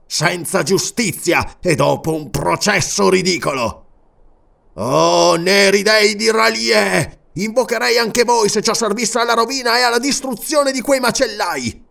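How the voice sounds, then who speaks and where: A man's voice: on the high side, gravelly, fairly bright tone, voice pushed up, full character voice. An Italian man narrating an audiobook and voicing a proud, furious barbarian king in disguise who swears vehement, declamatory oaths.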